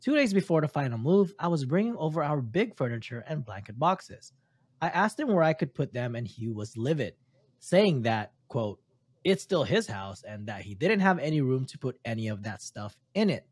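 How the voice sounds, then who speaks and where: Man, non-native English speaker, husky voice, lively up-and-down reading, dry close mic. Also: mid-range voice, moderate pace, no echo. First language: not English. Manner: reads aloud with stumbles and asides. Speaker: podcast host reading stories. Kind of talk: reading a story aloud on a podcast.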